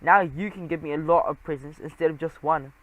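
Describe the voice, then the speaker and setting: A man voicing a greedy, whiny and pushy male mouse cousin in an audiobook, loud and bossy. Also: on the high side, a little fast, close to an everyday voice, low-quality recording. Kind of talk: audiobook character voice.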